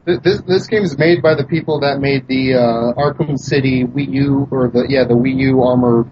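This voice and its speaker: A man's voice: medium pitch, fairly even intonation, normal speed, a little hiss or hum. A young man co-hosting a podcast, speaking in a laid-back, casual way and correcting details. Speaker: podcast co-host. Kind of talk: casual chat. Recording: dull lo-fi home recording.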